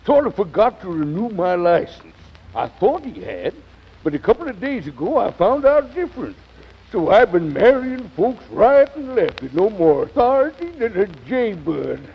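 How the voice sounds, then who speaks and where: An actor playing a folksy, sheepish country judge with a Southern American accent in an old radio comedy. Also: male voice, high-pitched, big pitch swings, moderate pace, gravelly, husky, heavily acted. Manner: folksy, drawling colloquial talk with chuckles. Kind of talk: 1940s radio comedy acting. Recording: dull, lo-fi old radio recording.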